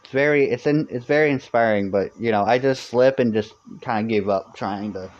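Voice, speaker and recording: A young man with a voice he calls high-pitched, chatting casually and rambling on a live stream, full of fillers and restarts. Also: brisk, muffled recording.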